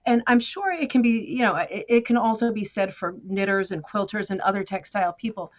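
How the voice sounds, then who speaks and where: A woman's voice: medium pitch, brisk, highs cut off. An American woman telling her story as a guest in a conversational interview, chatty and self-deprecating. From United States. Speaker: guest artist.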